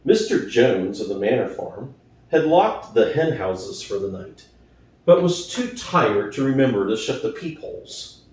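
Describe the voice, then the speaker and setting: Expressive male storyteller in a very echoey room. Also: mid-range voice, a little slow. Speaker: audiobook reader. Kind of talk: dramatic scripted narration of a classic novel. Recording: very echoey room, distant from mic.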